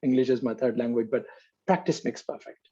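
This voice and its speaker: A man's voice: mid-range voice, steady tone, fairly quick, mellow, slightly rough, highs cut off. Earnest man coaching. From Pakistan. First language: Urdu. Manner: earnest, emphatic, repeats key phrases. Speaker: leadership coach. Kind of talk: motivational coaching in an online session.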